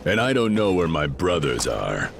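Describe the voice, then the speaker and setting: A man's voice: very deep voice, big pitch swings, moderate pace, creaky, a little hiss or hum, full character voice. A man playing a proud, honourable ancient warrior general, formal and solemn, in a video game cutscene. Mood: troubled.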